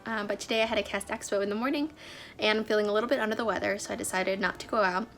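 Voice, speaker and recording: A woman's voice: medium pitch, very fast, a bit bright. A young woman vlogging casually, sounding a bit nasal from a cold, chatty with frequent fillers. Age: young adult. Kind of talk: casual vlog talk.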